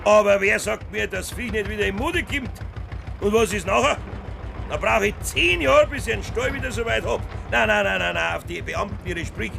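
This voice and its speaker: A man's voice: moderate pace, creaky, noisy background. An actor playing a domineering older Bavarian farmer who bullies and threatens his son, in a 1970s radio play with a Bavarian accent. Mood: gruff. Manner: bullying, stubborn, turns to low threats. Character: domineering older male Bavarian farmer, a greedy tyrant father. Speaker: farmer father.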